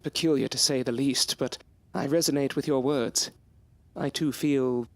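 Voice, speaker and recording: A man's voice: medium pitch, fairly even intonation, normal speed, no echo, natural voice. A man playing a polite, earnest young student in a gothic audio drama, speaking in formal, old-fashioned phrasing. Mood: humbled. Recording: music under the voice.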